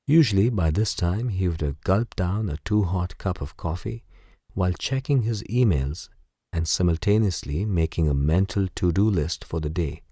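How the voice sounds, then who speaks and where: A man narrating a bedtime story as guided sleep meditation in a soothing, gentle, unhurried way. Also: sits low, dry recording, clean studio sound.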